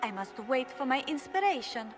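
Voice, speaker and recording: A woman's voice: high voice, very animated, brisk. An actress playing a bubbly, boastful young noblewoman in a video game, airy, theatrical and mischievous. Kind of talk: video game dialogue acting. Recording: clear music behind the voice.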